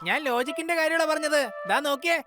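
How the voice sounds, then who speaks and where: A woman voicing a naive, silly young male cat in an animated cartoon, innocent and muddled. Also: sits high, fast, natural voice. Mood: puzzled. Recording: loud cartoon music behind.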